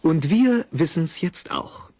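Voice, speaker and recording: A man with a husky voice narrating an audio drama in a wry, playful storytelling manner. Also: on the high side, animated, slow, very noisy.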